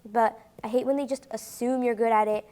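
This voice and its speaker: High-pitched opinionated young girl from Brazil. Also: steady tone, brisk, dark tone. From Rio de Janeiro, Brazil. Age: child or young teen. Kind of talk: casual chat.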